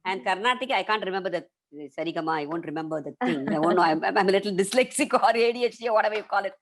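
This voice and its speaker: A woman with an Indian English accent, a professional storyteller chatting as a guest on a video call, animated, slipping into voices for the people she quotes. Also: mid-range voice, racing. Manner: animated, acts out quoted voices, laughs often. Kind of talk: casual interview chat.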